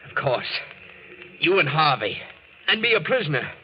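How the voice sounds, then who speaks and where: A man's voice: fairly high pitch, very animated, moderate pace. An actor playing a paranoid, bitter married man with an accusing, sarcastic manner in 1940s radio drama style. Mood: bitter, suspicious. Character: paranoid, bitter married man fearing murder, hard drinker. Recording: muffled, noisy 1940s radio recording.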